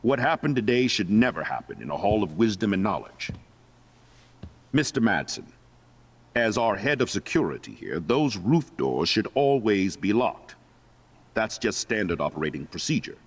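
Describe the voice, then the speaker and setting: Deep, solemn school principal. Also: male voice, sing-song, breathy edge, heavily acted. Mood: stern reprimand. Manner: formal, deliberate, pompous. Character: stern, formal school principal, solemn authority. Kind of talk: dramatic video game acting.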